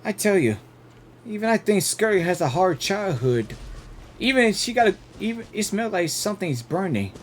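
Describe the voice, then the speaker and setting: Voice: mid-range voice, big pitch swings, normal speed, a little hiss or hum. A gaming host giving casual, off-the-cuff reactions, hesitant and repetitive while musing aloud.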